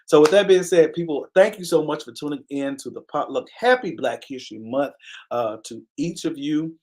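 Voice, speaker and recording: A man hosting a live online talk show, speaking earnestly in a preacher-like cadence, repeating key phrases and stopping to thank viewers by name. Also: fairly high pitch. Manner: pauses to give viewers shout-outs. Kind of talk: casual live-stream commentary.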